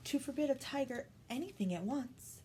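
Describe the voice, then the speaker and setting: A woman voicing a quiet, calmly determined young princess in a soft, hushed storybook voice. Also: normal speed, husky, full character voice. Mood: calm, resolute. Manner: soft, hushed, higher than the narration.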